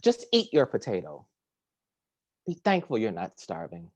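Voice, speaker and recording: A man reading poems aloud at a virtual poetry reading from his home, measured and deliberate, introducing each piece with hesitant fillers. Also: lowish voice, huge pitch swings, darkish tone. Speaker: poet reading at a virtual series. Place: home kitchen or similar domestic room. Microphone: video call.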